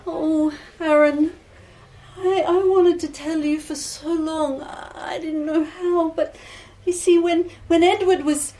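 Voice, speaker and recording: A woman storyteller voicing an emotional, remorseful young bride who speaks in a halting, stammering way. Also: extremely high pitch, fairly even intonation, dark tone, voice pushed up. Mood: tearful, confessing. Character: tearful, remorseful young bride confessing to her beloved.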